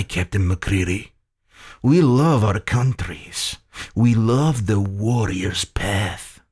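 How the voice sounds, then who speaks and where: Man acting an oily, gloating general, slow and menacing. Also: fairly low pitch, darkish tone. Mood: smug. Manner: sultry, theatrical, deliberate.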